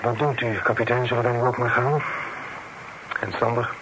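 Casting: actor as polite, decisive merchant, medium pitch, measured delivery, noisy old radio play. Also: male voice, steady tone, close to an everyday voice. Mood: concerned. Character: businesslike gentleman merchant, cautious and decisive. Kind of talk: old radio drama acting.

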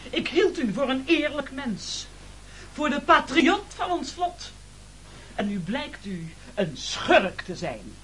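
An actor playing a hungry, fawning castaway sidekick in a radio play, speaking in an exclamatory, overdramatic way. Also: male voice, very high voice, big pitch swings, slow pace, a bit bright, slight background noise, heavily acted. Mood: scandalized. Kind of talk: theatrical radio play acting.

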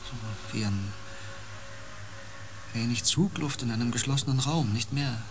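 An actor plays an intense, haunted male space explorer in a radio drama. His medium-pitched voice moves in brooding, fragmented phrases. Faint ambience sits behind him. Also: noisy background, natural voice. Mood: haunted, hushed. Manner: halting, brooding, fragmented phrases, drops toward whispers.

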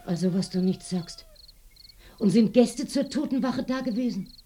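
An actress in a radio play, playing a blunt, hard-of-hearing village shopkeeper who talks loudly in questions. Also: female voice, mid-range voice, normal speed, slight background noise, close to an everyday voice. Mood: blunt, puzzled.

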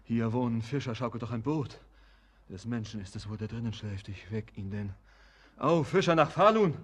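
A man's voice: medium pitch, expressive, normal speed, breathy edge. An actor playing a feverish, yearning man in an impassioned, declamatory classical verse radio drama. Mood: restless urgency. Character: feverish, yearning man obsessed with a supernatural beloved.

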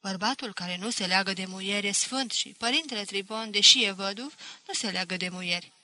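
A woman's voice: medium pitch, pushed and bright, no echo. A woman voicing a knowing, gossipy village woman in an audiobook, confiding scandal in a sly, emphatic way. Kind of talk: audiobook character acting.

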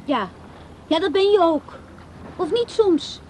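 A woman's voice: very high-pitched, animated, slow pace, darkish tone, slight background noise, heavily acted. A woman acting in a radio play as a blunt, bored young woman, curt and offhand with her older lover. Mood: dismissive. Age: young adult. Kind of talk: naturalistic radio play dialogue.

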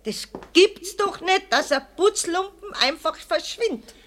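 High-voiced, flustered Bavarian cleaning lady. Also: lively intonation, unhurried, a bit bright, heavily acted. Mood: superstitious grumbling. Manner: lamenting, flustered. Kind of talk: 1970s German children's radio play acting.

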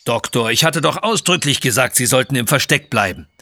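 A man's voice: medium pitch, expressive, a little fast, sharp tone. A man voicing a brave, decisive male adventurer in a children's adventure story. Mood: reproachful.

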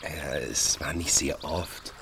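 Low-voiced shy man, noisy ambience. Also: gravelly, heavily acted. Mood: awkward, wistful. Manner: halting, reticent. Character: shy, hesitant man, awkward and tender. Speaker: radio drama actor. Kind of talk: radio play acting in standard German.

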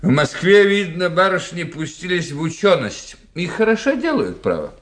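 An actor playing a witty, sceptical society doctor in a radio play, gossiping in a wry, theatrical way. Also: male voice, high voice, very animated, normal speed. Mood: gossipy, amused. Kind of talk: radio drama acting.

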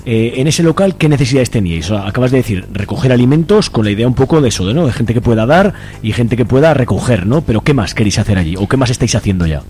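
A man's voice: mid-range voice, lively intonation, quick pace, a little mellow, a little hiss or hum. A man with an accent from Spain interviewing informally on radio, colloquial and full of tag questions. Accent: Spanish from Spain.

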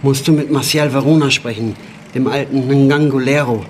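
An actor playing a knowing friend who explains cult lore with assurance in a radio drama. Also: male voice, medium pitch, steady tone, moderate pace, a little hiss or hum, natural voice. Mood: knowing, intrigued. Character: knowing male friend, expert on Cuban cults. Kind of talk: German radio drama acting.

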